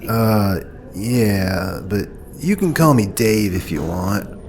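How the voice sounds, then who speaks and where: A man's voice: on the low side, expressive, slow pace, heavy background noise. A man voicing a laid-back hippie slacker from Earth in a comedy audio drama, with a surfer-dude drawl. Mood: cheerful, mellow.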